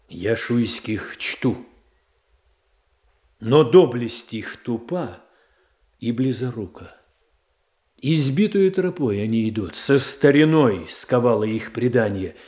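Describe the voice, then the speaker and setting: An actor playing a stern, ambitious statesman in a classical verse radio drama, speaking in a deliberate, declamatory manner. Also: medium pitch, darkish tone. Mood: driven, forceful. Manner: deliberate, weighty, declamatory delivery. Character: stern, ambitious, calculating statesman brother of the Tsarina. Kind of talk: classical verse tragedy in a Russian radio play.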